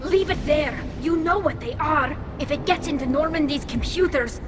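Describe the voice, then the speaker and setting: A woman voicing a cautious, earnest young female alien engineer in a video game, speaking in a measured, precise way. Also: high voice, noisy background, lightly acted. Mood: urgent doubt.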